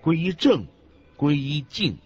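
A man's voice: fairly high pitch, sing-song, slow, husky. A man solemnly reciting scripted religious teachings in a radio lecture. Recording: dull lo-fi audio with background music.

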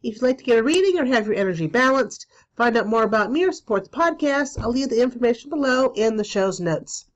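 A woman in her forties with a stuffy cold, hosting a casual spiritual podcast from a small room, chatty and full of ums and pauses. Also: on the high side, expressive, dull audio. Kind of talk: casual solo podcast talk.

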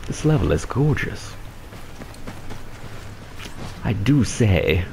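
Deep-voiced man commentating on gameplay. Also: big pitch swings, unhurried, dark tone. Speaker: gaming video creator. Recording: clear game noise behind the voice. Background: game ambience.

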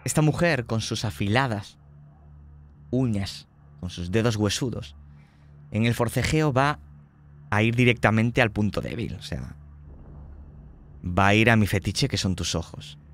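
A man's voice: fairly low pitch, lively intonation, a little slow, long pauses, fairly bright tone, slight background noise. A man narrating a tabletop horror game as game master, describing scenes vividly and dramatically.

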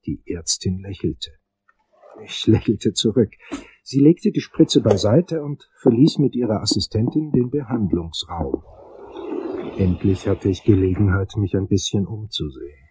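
A man playing an investigator, narrating a crime radio play in measured, wry first-person storytelling. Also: lowish voice, animated, mellow, a little hiss or hum, low-quality recording. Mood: measured, tense.

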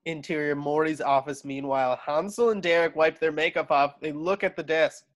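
A man narrates screenplay directions during a casual table read. His voice is very high for a man, recorded at home on a video call. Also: steady tone, normal speed. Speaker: stage direction reader.

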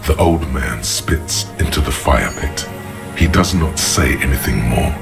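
An actor playing the brooding inner voice of a detective in a video game, narrating in a measured, ominous, gravelly way. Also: male voice, a bass voice, lively intonation, exaggerated voice. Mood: observant. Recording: loud music behind the voice. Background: music.

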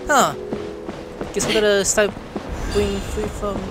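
A woman giving casual, excitable, exclamatory commentary while playing a video game, sometimes slipping into playful squeals. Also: medium pitch, slow. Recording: loud game music behind.

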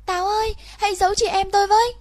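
Anxious little storybook girl, played by a woman, very high and pleading, dry recording. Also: steady tone, very fast, dry recording. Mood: urgent pleading. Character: anxious little girl searching for her brother. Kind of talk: storybook reading.

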